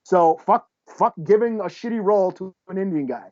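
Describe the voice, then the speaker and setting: A man's voice: high voice, moderate pace, darkish tone, dull audio. A man co-hosting a podcast, chatting casually in a blunt, profane way. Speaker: podcast co-host. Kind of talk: casual chat.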